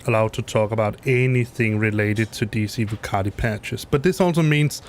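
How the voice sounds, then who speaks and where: A man's voice: on the low side, moderate pace, a little hiss or hum. Hesitant Danish man chatting while flying. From Denmark. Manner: rambling, hesitant, self-correcting with many fillers. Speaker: streamer flying a flight simulator. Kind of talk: casual live-stream chat. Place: home basement room.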